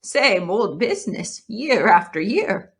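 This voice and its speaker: Woman as a slow, blunt old sheep. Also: medium pitch, sing-song, pitched above the performer's own voice. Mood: blunt, grim. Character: old female sheep, blunt, gossipy, unsentimental.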